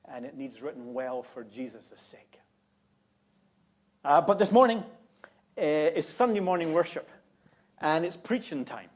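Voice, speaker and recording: A man's voice: fairly high pitch, animated, unhurried, pauses often, a little mellow. Jovial Scottish man preaching. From Scotland. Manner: joking, chatty. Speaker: guest preacher. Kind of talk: sermon. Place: church sanctuary.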